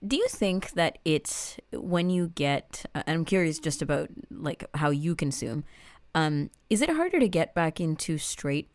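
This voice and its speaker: Very low-voiced woman, casual and inquisitive. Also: lively intonation, a little slow, a little mellow, no room sound. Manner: inquisitive, informal, thinking aloud. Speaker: audiobook review show co-host. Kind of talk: casual review chat.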